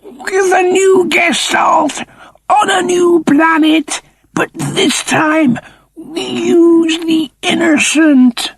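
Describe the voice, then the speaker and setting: A man voicing a cold, officious chimp-like creature in a strained, creaky falsetto, with slow, pompous delivery in an audiobook. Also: steady tone, no room sound, heavily acted, poor audio. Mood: grave, strained. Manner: slow, deliberate, pompous pronouncements.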